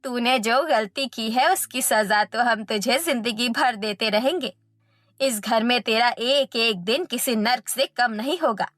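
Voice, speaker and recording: A woman's voice: on the high side, measured pace, fairly bright tone, dry recording. A woman voicing a cruel, spiteful in-law in a Hindi cartoon story, scolding and menacing.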